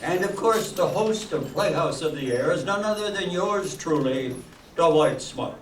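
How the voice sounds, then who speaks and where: A man's voice: on the high side, animated, normal speed, lightly acted. A man playing a genial 1940s radio show host, formally announcing and narrating a live stage performance. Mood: welcoming showman. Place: stage of an auditorium with live audience. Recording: echoey hall from far off, faint noise.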